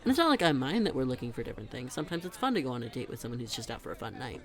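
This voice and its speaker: A man's voice: medium pitch, sing-song, racing, crowd noise. A young man playing a teasing, chatty best friend in a conversational audio roleplay, talking in a casual, emphatic way. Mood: exasperated venting. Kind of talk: conversational scripted roleplay monologue.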